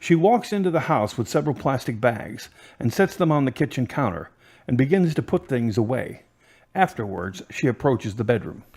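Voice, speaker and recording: A man's voice: mid-range voice, very animated, moderate pace, darkish tone, husky. Plain male narrator reading directions.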